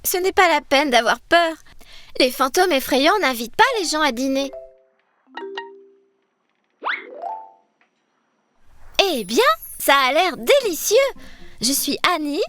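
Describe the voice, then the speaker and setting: A woman voicing a brave, kind young girl adventurer in a children's cartoon, expressive and encouraging. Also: high voice, normal speed, a little hiss or hum. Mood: reassuring.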